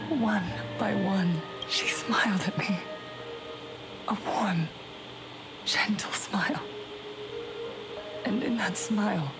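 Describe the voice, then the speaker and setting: A woman voicing a serene young leader of a devout society in a video game, speaking softly and breathily with solemn, theatrical pauses. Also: mid-range voice. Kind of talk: scripted game dialogue. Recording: game audio with prominent background music.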